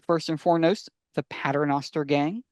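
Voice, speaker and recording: A man hosting a casual fan podcast, talking enthusiastically and sometimes haltingly as he gives recommendations. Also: fairly high pitch, steady tone, darkish tone, dry recording. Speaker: podcast host.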